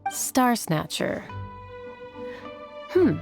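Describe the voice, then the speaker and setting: An actress playing a courteous, composed female innkeeper in a video game, speaking in a polite, measured way. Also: on the high side, big pitch swings, gravelly, music in the background, full character voice.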